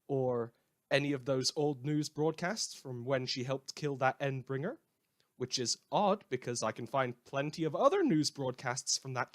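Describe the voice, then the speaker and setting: A man's voice: medium pitch, lightly acted. A man playing a sardonic radio newsreader in a post-apocalyptic world, reading scripted satirical news with dry, rambling asides. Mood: apologetic, wry. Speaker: radio host.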